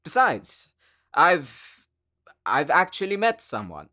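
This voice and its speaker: A man's voice: on the high side, wildly swooping pitch, bright, forceful tone, dry recording, studio quality, full character voice. An actor playing an earnest, bashful young male demon hunter in an audio roleplay drama, speaking hesitantly. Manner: hesitant, halting.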